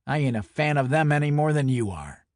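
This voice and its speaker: A man's voice: a little high, lively intonation, brisk, no echo, studio quality, natural voice. Man playing terse hard-boiled criminal enforcer. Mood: defiant. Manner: terse, world-weary, defiant. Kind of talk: dramatic audio fiction acting.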